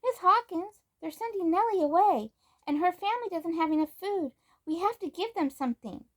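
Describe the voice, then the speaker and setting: A woman's voice: very high voice, lively intonation, moderate pace, pitched above the performer's own voice. A woman voicing a spirited, pleading nine-year-old girl in a children's storybook. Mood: urgent, pleading.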